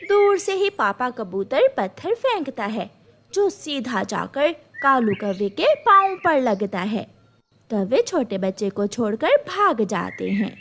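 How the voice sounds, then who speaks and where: A woman's voice: a little high, slight background noise. A woman storyteller reading scripted narration for a children's cartoon story.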